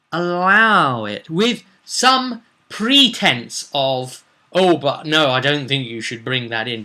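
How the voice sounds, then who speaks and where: Bright, forceful man recapping a roleplaying game. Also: mid-range voice. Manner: theatrical emphasis, rambling asides. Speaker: game master recapping a tabletop roleplaying campaign. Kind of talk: casual, animated storytelling vlog.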